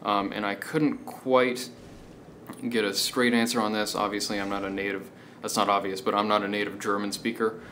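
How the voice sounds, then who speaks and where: A man's voice: fairly low pitch, normal speed. A man hosting a casual explanatory commentary, hesitant as he goes and often checking in with the listener. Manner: hesitant, checking in with "right?" and "okay?". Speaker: video essay host. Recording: steady background noise.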